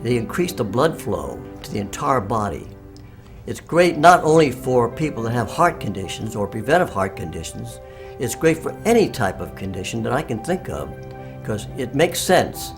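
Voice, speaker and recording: A man in his nineties talks in an emphatic, upbeat way as a patient in an interview. His voice sits at a middle pitch. Music plays clearly behind him. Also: sing-song, normal speed. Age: 90s. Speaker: patient giving a testimonial. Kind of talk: interview testimonial.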